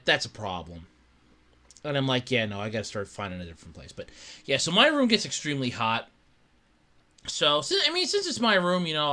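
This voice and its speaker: A man hosting his own podcast in casual solo chat, rambling with lots of restarts and a slightly raspy voice from allergies. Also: mid-range voice. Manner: rambling, animated, with many restarts and fillers. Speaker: podcast host. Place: his own room at home.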